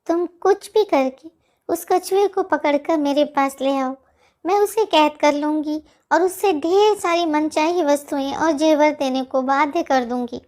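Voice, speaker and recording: A woman voicing a greedy, nagging female jackal wife in a children's animated moral story. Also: high voice, measured pace, voice pushed up. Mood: greedy, scheming. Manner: nagging, scheming.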